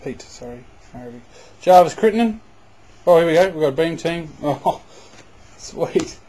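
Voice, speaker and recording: A man giving casual running commentary as he opens packs of trading cards, breaking into loud bursts of excitement. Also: fairly high pitch, normal speed. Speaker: card box breaker. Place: indoors at a table.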